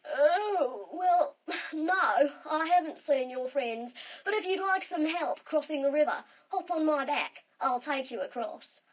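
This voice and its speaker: Woman as a big male crocodile, lazy, yawning drawl, helpful, storybook animal, fairly high for the part. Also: normal speed, hardly any pauses, dull audio, slight background noise, close to an everyday voice. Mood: lazy, obliging.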